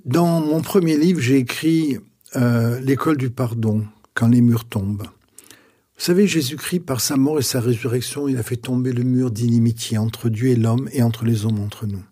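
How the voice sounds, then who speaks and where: A man's voice: mid-range voice, mellow, slightly rough. An older man, a pastor, telling his story in a radio interview in an earnest, reflective way. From France. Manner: earnest, reflective, preacherly pauses.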